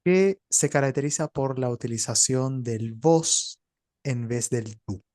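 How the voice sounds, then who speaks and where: A man's voice: medium pitch, measured pace, darkish tone, dry recording, clean studio sound. A man from Uruguay with a Rioplatense accent, a Spanish teacher who explains things in an easygoing way during a casual chat.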